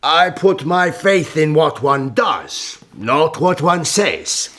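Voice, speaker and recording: An actor playing a shrewd, imperious medieval sultan in a historical radio drama, measured and questioning. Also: male voice, a little high, sing-song, fairly bright tone, heavily acted. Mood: satisfied, commanding.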